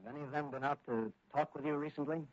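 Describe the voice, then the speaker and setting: A confident young cop hero from a 1940s radio serial, played by a male actor. He talks fast in a slightly high, take-charge voice. The old recording is dull and muffled. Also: fairly even intonation, lightly acted. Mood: concerned, probing. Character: confident, brisk young police officer and masked crime-fighter.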